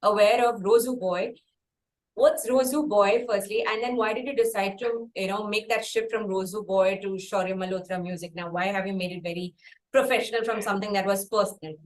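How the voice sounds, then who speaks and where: A woman's voice: mid-range voice, steady tone, brisk. A woman with an Indian accent, asking polite, wordy questions as an interview host.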